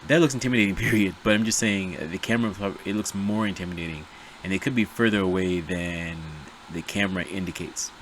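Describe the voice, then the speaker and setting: A man's voice: sits low, quick pace, a little hiss or hum. A man hosting a reaction video, talking in a chatty, rambling, self-deprecating way. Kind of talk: casual rambling commentary.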